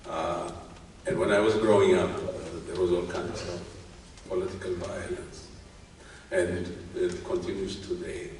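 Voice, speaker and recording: A man in his fifties with an Ethiopian accent, giving a commencement speech in short phrases with pauses between them. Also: low voice, little pitch movement, a little mellow. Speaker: graduation speaker, tech executive. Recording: very echoey hall, distant mic, faint noise.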